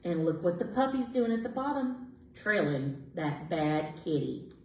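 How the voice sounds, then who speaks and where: A woman reading rhyming picture books aloud to children in an animated, sing-song way. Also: on the low side, measured pace, muffled recording, a little hiss or hum. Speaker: children's storyteller. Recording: roomy, echoey indoor sound.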